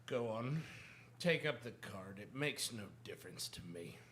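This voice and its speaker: An actor playing a blustering, hot-tempered crook who threatens others in a radio drama. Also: male voice, medium pitch, expressive, unhurried, fairly bright tone, a little hiss or hum. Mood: sullen. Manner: blustering, menacing.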